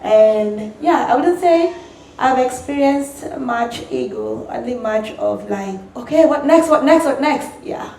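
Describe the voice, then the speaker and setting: Brief: female panelist from Kenya, lively, echoey room. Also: on the high side, unhurried, vocal fry. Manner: lively, laughs easily, acts out quotes. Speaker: bartender panelist. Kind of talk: live panel discussion. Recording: echoey hall, faint noise.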